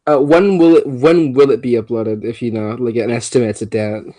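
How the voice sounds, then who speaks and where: A man with a medium-pitched voice speaks casually and tentatively. He sounds hesitant, and the recording is very dry. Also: steady tone, normal speed, mellow, no room sound. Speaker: table-read participant asking a question. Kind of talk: casual chat.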